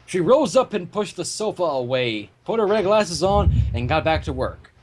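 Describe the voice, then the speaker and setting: A man narrates a story with a high, expressive voice that moves around a lot. He slips into casual asides, and the home recording has faint background noise. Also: moderate pace. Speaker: narrator of a fan fiction reading.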